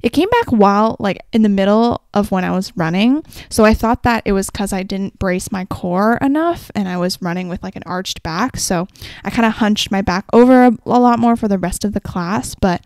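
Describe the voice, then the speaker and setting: Young woman chatting, slightly raspy voice. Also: medium pitch, moderate pace, a little mellow, dry recording, studio quality. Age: young adult. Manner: chatty and rambling, frequent "like" and "kinda". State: sore-throated, voice a little raspy. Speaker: podcast host. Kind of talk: casual solo podcast chat.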